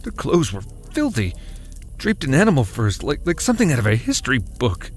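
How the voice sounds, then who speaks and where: A man playing a weary husband and father, narrating a horror audio drama in the first person with dramatic pauses. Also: mid-range voice, huge pitch swings, soft background music. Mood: tense, frightened. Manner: slow, dramatic storytelling with heavy pauses. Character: weary husband and father recounting a terrifying cabin getaway. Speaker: narrator and actor.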